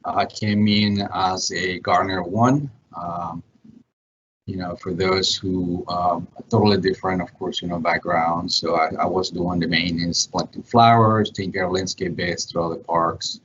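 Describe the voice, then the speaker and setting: A middle-aged man from El Salvador, a parks manager on a virtual panel, speaking haltingly with many fillers. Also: sits low, fairly even intonation, a bit bright, slight background noise. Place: home or office, remote call. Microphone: video call. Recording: muffled video-call audio.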